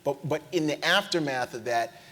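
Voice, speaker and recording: Animated male panelist telling a story. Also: on the high side, normal speed. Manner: animated storyteller, tag questions, frequent restarts. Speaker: panelist, historian. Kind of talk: lively panel discussion. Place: lecture hall stage.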